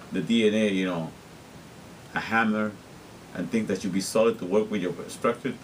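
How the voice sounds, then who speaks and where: A man's voice: low-pitched, a little hiss or hum, rough recording. A middle-aged man from Guatemala, talking earnestly and repetitively in a rambling personal monologue, often restarting his sentences. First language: Spanish. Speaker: vlogger.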